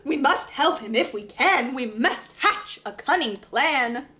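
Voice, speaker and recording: A woman with a high, bright voice reads a children's storybook like a preschool teacher. Her delivery is dramatic and singsong, with big swings in pitch. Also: unhurried. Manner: dramatic, expressive, singsong rhyme. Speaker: preschool teacher reading aloud. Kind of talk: animated children's storybook reading.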